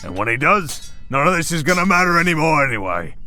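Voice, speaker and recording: A man's voice: fairly high pitch, big pitch swings, fast talker, pushed and bright, faint music behind, exaggerated voice. A man playing a cocky, goading henchman in an audio drama, with a folksy, swaggering way of taunting. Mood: menacing, confident. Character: cocky, goading male villain henchman in combat. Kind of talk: scripted audio roleplay drama.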